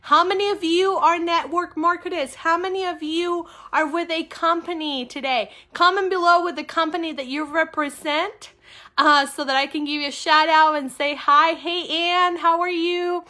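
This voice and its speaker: Bright, high-pitched Peruvian-accented woman. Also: fairly even intonation, moderate pace. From Peru. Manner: upbeat, emphatic, repeats key phrases. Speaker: network marketing coach and livestream host. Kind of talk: informal livestream talk to viewers.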